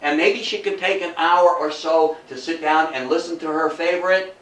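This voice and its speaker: A man's voice: fairly high pitch, normal speed. Older man lecturing in an echoey room. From Athens, New York, United States. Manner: animated, emphatic, repeats phrases, rhetorical questions. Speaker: local history lecturer. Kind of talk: informal public lecture with storytelling. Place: indoor talk before a small audience. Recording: echoey room, distant mic, faint noise.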